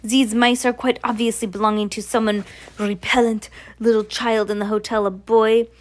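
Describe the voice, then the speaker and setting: A woman's voice: a little high, level tone, moderate pace, pitched above the performer's own voice, lightly acted. A woman voicing a vicious, imperious witch leader who gives orders in oddly phrased sentences, reading from a storybook. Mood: disgusted. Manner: commanding, oddly phrased grammar. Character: imperious, scheming, vicious female Grand High Witch.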